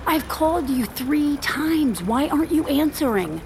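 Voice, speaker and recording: A woman's voice: high-pitched, expressive, a little slow, a little hiss or hum. An actress playing an anxious, flustered professional woman in an audio drama, hesitant and apologetic. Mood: pleading, tense. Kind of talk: naturalistic audio drama acting.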